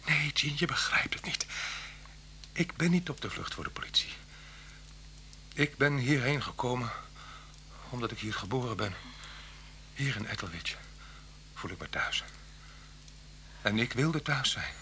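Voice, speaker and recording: A gentle but desperate man on the run for murder. His emotional, halting delivery swings widely in pitch over a faintly noisy old recording. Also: expressive. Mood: resigned, sorrowful. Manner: halting, repeating words, emotional. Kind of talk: radio drama acting.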